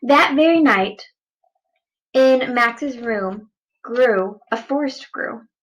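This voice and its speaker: A woman reading a picture book aloud as a teacher to children, deliberate and expressive, stressing words for young listeners. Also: a little high, poor audio.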